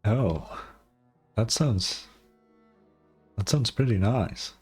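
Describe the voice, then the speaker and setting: Very low, husky woman streamer. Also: animated, very slow, a little mellow. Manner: laid-back, sweary, murmured asides. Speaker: game streamer. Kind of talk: casual reaction commentary over gameplay. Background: game music.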